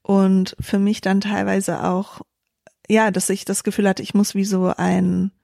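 Junge Frau mit knarziger, dunkler Stimme. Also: female voice, medium pitch, level tone, measured pace, vocal fry, dark tone, no room sound, clean studio sound. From Germany. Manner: thoughtful, many fillers and restarts. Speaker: startup founder, guest. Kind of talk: reflective interview answers.

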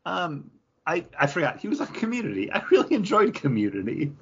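Husky, lively male podcast co-host. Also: fairly high pitch, brisk, dull audio. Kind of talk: casual chat.

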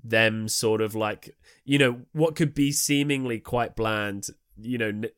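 Low-voiced man, casual and close. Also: measured pace, a bit bright, clear, resonant tone, dry recording. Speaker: podcast co-host. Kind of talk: casual creative discussion about staging a play.

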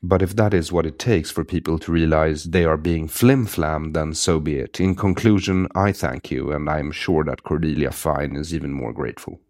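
Swedish guy hosting a podcast, super low voice, dry sarcastic delivery. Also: steady tone, normal speed, few pauses, darkish tone. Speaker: podcast host. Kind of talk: read intro then casual conversation.

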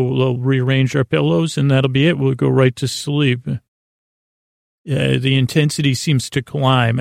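Man, bedtime podcast host, rambling with restarts, gravelly voice, dry closet recording. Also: medium pitch, no room sound. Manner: meandering, halting, many restarts and fillers. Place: small closet.

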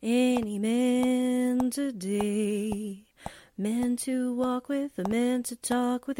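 An actress playing a breezy, playful young gal with slangy talk in 1940s-style radio drama. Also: female voice, mid-range voice, noisy background. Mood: singing idly. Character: breezy, man-hungry young gal, reporter's chummy sidekick.

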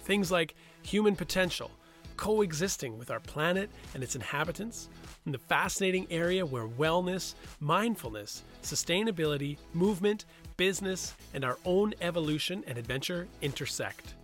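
Higher-voiced man hosting a podcast. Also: expressive, normal speed, fairly bright tone, soft background music. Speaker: podcast host. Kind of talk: scripted intro and casual conversation.

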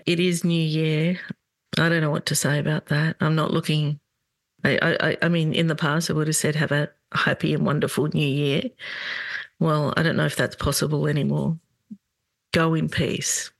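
Gravelly-voiced woman podcasting. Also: deep voice, little pitch movement, dry recording. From Australia. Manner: earnest, indignant, halting restarts. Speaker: podcast host. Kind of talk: solo commentary podcast.

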